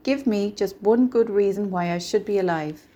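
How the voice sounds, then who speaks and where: Woman as a bitter, mellow-voiced young male clerk. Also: mid-range voice, moderate pace, close to an everyday voice. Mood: defensive, fed up. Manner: gruff, scornful, emphatic complaining. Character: bitter, despairing young male small-town bank clerk.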